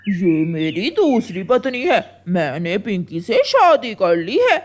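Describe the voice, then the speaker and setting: A woman's voice: medium pitch, wildly swooping pitch, slow, a little hiss or hum. A woman voicing a flirtatious, bossy male crow husband in a cartoon story, with an emphatic, wheedling delivery. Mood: angry, threatening. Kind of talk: Hindi cartoon story acting.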